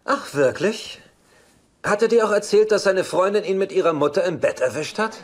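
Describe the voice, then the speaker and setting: An actor playing an evasive, glib engaged playboy in dubbed sitcom dialogue, wry and easily flustered. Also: male voice, high-pitched, animated, normal speed. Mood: defensive.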